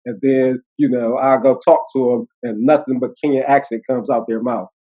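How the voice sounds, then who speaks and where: A man in his fifties with an American accent, telling stories as an interview guest, animated and laughing, with many fillers. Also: medium pitch, moderate pace. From United States. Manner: animated, laughing, acting out quoted voices, many fillers and restarts. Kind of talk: casual storytelling conversation. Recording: dull lo-fi audio.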